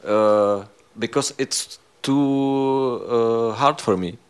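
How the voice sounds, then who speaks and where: Halting man, meditation student. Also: medium pitch, clean tone. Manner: halting, many fillers and restarts. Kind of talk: conversation with teacher.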